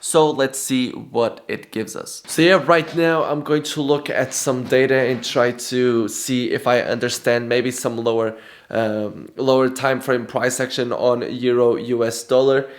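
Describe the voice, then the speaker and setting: A young man vlogging his day and casually talking through trading charts, relaxed and self-deprecating, with frequent fillers. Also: medium pitch, a little slow. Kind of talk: casual vlog and market commentary.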